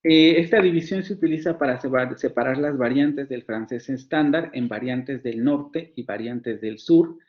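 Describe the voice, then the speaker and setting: Man, Mexican Spanish accent, university professor, online lecture, fillers and restarts, medium pitch, muffled lo-fi audio. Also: moderate pace, hardly any pauses. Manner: explanatory, many fillers and restarts, rhetorical questions. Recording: dull lo-fi sound.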